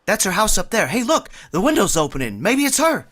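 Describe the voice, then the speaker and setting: A woman's voice: medium pitch, sing-song, a little fast, fairly bright tone. A woman playing a flustered lovestruck young man. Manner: earnest, flustered, rambling. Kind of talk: 1940s radio acting.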